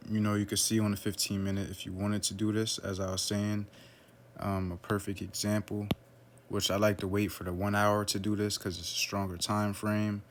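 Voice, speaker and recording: A man walks through a trading chart in a relaxed, offhand way, often pausing on "um" and "right?". His voice sits low and stays flat, with little rise or fall. A faint hum of background noise runs under the recording. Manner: relaxed, loose, lots of fillers like "um" and "right?". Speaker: forex trader analyzing a chart. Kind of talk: casual screen-share trading walkthrough.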